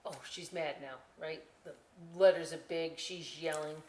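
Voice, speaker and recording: A woman hosting a children's storytime and craft session, chatty and expressive, speaking directly to young listeners. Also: deep voice, unhurried, a little hiss or hum. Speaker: library storytime host. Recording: echoey room.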